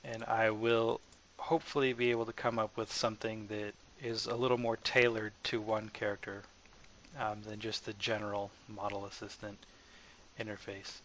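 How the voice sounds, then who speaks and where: Low, even-voiced man on a dull mic. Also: moderate pace. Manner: informal, hesitant, thinking aloud. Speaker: software developer presenting own asset package. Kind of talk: casual screen-capture tutorial narration. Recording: dull lo-fi mic with faint background noise.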